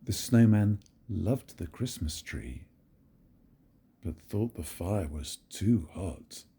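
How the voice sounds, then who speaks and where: An older man reading a picture book aloud to his grandchildren in a gentle, very expressive storytelling manner. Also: deep voice, slow, breathy edge.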